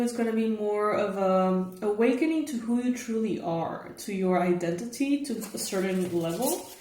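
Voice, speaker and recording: A young woman reads tarot for her viewers in a hoarse, raspy morning voice. She chats casually, with fillers and the odd soft laugh. The room is echoey with a faint hiss. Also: mid-range voice. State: hoarse, just woke up. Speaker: tarot reader, channel host.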